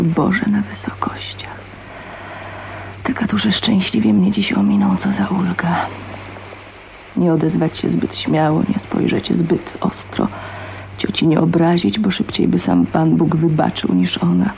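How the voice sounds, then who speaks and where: An actress in a Polish radio drama playing a timid, bitter spinster of twenty-nine, musing to herself in a hushed, resigned manner. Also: female voice, on the low side, gravelly, slight background noise. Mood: weary relief. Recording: dull lo-fi sound, music behind.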